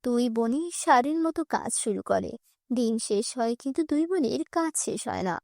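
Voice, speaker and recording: A woman's voice: a little high, expressive, normal speed, gravelly, dark tone, dry recording, studio quality. A woman narrating a scripted cartoon fairy tale as a storyteller.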